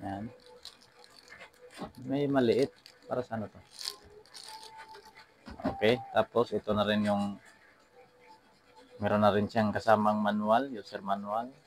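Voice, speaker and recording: Low-voiced Filipino man hosting an unboxing vlog. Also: steady tone, takes it slowly, long pauses. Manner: repeats phrases and address tags. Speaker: vlog host. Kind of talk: casual unboxing vlog, addressing viewers. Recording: some background noise.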